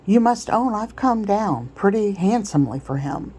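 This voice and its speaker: A woman's voice: mid-range voice, lively intonation, normal speed, mellow, breathy edge, voice pushed up. A woman voicing a coarse, pushy male slave trader in a storybook read-aloud, with a wheedling, blustering, folksy rustic way of talking.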